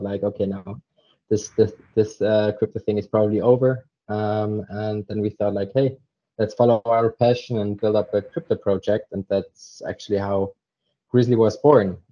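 A man's voice: on the low side, level tone, moderate pace. A young man from Zurich, a podcast guest telling his story casually with many fillers. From Switzerland, Zurich. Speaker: podcast guest, crypto startup co-founder. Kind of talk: casual interview talk. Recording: dull lo-fi remote audio.

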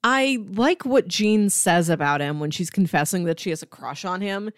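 A woman's voice: on the low side, moderate pace, no echo, clean studio sound. A woman co-hosting a podcast, discussing comics casually and articulately, building points in parallel phrases. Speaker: podcast co-host.

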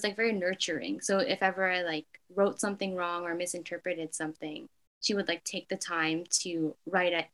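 A young woman from Canada answering interview questions in a casual, reflective way, full of "like" fillers. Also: on the low side. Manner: frequent "like" fillers, soft and hesitant.

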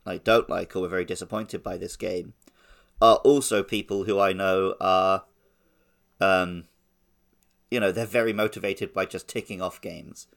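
A man's voice: sits low, fairly even intonation, moderate pace, creaky. A man co-hosting a podcast, casually discussing games in conversational speech. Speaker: podcast co-host.

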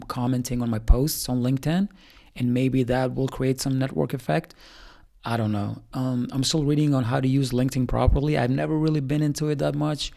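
Gravelly guy rambling casually, lots of ums. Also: mid-range voice, warm tone. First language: Albanian. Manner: rambling, with many fillers and restarts. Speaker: startup founder. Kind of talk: casual solo update.

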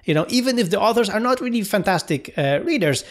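A man's voice: high-pitched, animated. A man with a Polish accent, English not his first language, co-hosting a casual podcast chat and often searching for words. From Poland. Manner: halting, searching for words, many restarts. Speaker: podcast co-host.

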